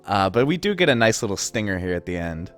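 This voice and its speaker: A man's voice: on the low side, big pitch swings, quick pace, faint music behind. A young man giving casual, wry commentary over game footage, amused and often laughing at the absurd moments. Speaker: gaming video host.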